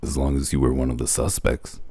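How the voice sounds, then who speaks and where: A man playing a gruff, world-weary detective in a comic dub, with a gravelly voice and a methodical, matter-of-fact way of explaining. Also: rumbling low, flat delivery, fairly quick, dark tone, no echo, studio quality. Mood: matter-of-fact, shrewd.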